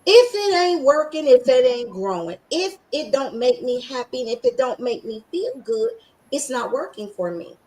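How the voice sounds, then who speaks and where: A woman hosting a podcast, with a scratchy voice, talking with excited emphasis and slowly repeating affirmations. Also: sits high. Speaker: podcast host.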